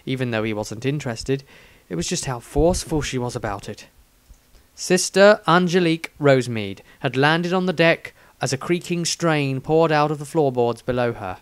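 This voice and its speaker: A man's voice: medium pitch, no echo. A man narrating a fantasy story expressively, as an author reading his own work.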